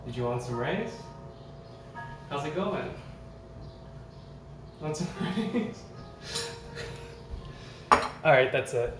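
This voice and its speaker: A man's voice: fairly high pitch, expressive, very slow, long pauses. Casting: male livestreamer, casual and jokey, teasing delivery, echoey kitchen with music behind. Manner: playful, teasing, joking. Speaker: livestreamer cooking at home. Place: home kitchen, indoors.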